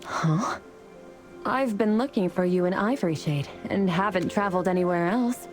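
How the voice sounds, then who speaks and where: A woman's voice: lowish voice, normal speed, faint music behind, natural voice. A woman playing a noble, resolute celestial being in a game story, speaking formally and with composure. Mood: firm, puzzled. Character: noble, solemn, resolute female celestial warrior. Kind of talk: scripted game voice acting.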